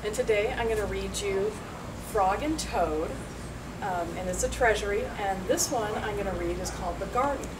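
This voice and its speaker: A woman reading a children's story aloud in an expressive, deliberate storytelling manner. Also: medium pitch. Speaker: school staff member reading a story. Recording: distant mic, loud background noise.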